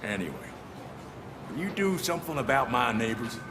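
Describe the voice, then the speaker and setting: Gravelly, animated, oblivious citizen. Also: male voice, medium pitch, normal speed, long reverb tail, background noise. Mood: earnest, oblivious. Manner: earnest, oblivious, chatty. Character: oblivious, proud dog-owning male citizen with complaints. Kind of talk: video game voice acting.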